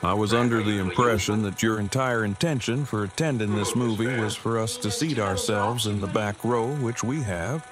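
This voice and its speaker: A man acting a wordy, formal, deadpan husband in an audio drama. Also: on the low side, normal speed, hardly any pauses, lightly acted. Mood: dry flirtation. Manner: verbose, formal phrasing.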